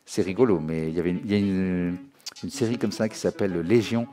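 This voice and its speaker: A man giving an informal spoken tutorial as a music software trainer, explaining as he demonstrates and often checking that listeners follow. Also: low voice, quick pace. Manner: conversational, checks understanding often with short tag questions. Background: music and synth demo sounds.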